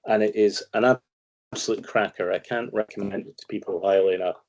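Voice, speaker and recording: Brief: Scottish male guest analyst, low and warm, emphatic and opinionated. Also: fairly even intonation, fairly quick, highs cut off. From Scotland. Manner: emphatic, opinionated, long flowing explanations. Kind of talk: conversational interview commentary.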